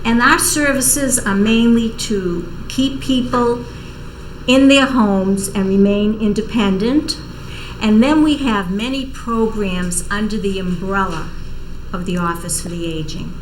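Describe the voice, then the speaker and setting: An older woman with a Boston accent, an outreach coordinator giving slow, explanatory answers on a panel and stressing key terms. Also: mid-range voice, a bit bright, slight background noise, rough recording. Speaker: outreach coordinator for an aging services office. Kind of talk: informative panel talk.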